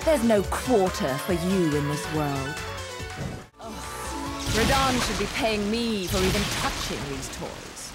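A woman playing a supremely confident, cheeky female fighter in a video game cutscene, teasing and coolly unhurried. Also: medium pitch, expressive. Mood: taunting. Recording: game audio under loud music.

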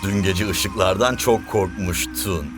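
A man's voice: low voice, fairly even intonation, takes it slowly, music in the background. A man playing a vain, gloating villain coach in a cartoon, pompous, mocking and theatrical.

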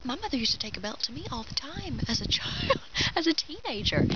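A woman's voice: sits high, sing-song, a little fast. A woman in her twenties with a Kentucky Southern accent, talking casually and animatedly to her camera outdoors in a pine wood, laughing often. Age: 20s. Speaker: vlogger. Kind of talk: casual opinion vlog. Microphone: camera mic, head-and-shoulders framing. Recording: dull lo-fi outdoor audio with clear background noise.

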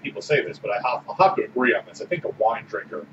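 A man's voice: on the low side, very animated, quick pace. A man co-hosting a casual beer-tasting podcast, talking thoughtfully and laughing easily. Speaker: podcast co-host. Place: small room with orange walls. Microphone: desk mic on boom arm. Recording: roomy, distant, dull with loud background hiss.

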